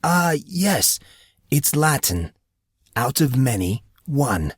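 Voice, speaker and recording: Man acting a formal, gently teasing attendant. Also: medium pitch, sing-song, takes it slowly, slightly rough, no room sound, clean studio sound, pitched above the performer's own voice, full character voice. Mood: dryly teasing. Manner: formal, pompous, precise wording. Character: courtly, unflappable male attendant, gently teasing.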